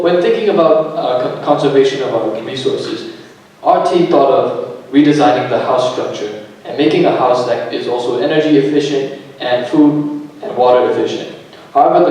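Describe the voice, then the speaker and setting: A man's voice: mid-range voice, darkish tone. A young man from Myanmar giving a rehearsed formal presentation as part of a team. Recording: very echoey room, distant mic.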